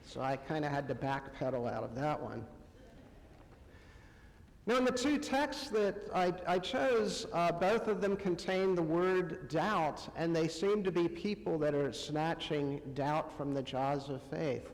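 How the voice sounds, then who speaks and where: Male preacher, unhurried and halting, fairly high voice, conversational sermon delivery in a long-reverb church space. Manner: halting, pausing often between short phrases. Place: church sanctuary, amplified to a congregation.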